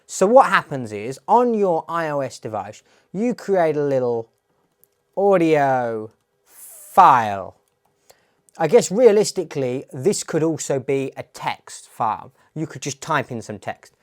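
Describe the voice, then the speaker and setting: A man's voice: on the high side, sing-song, measured pace. A man presenting a tech tutorial, explaining a demo in a plain-spoken, step-by-step way.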